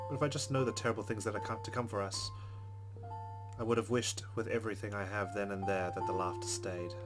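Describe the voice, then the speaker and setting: A man reading a visual novel aloud as a let's player, slipping between narration and casual commentary. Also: fairly low pitch.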